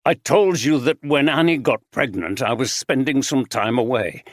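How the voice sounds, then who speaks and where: A man's voice: medium pitch, normal speed, dry recording, clean studio sound, lightly acted. A man playing a distraught man who is shaken and tearful.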